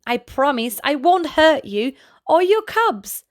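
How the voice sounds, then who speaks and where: A friendly male alligator from a children's storybook, eager to make pals, played by a woman. He sounds very high and bright, with a lively, swooping voice. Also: takes it slowly, heavily acted.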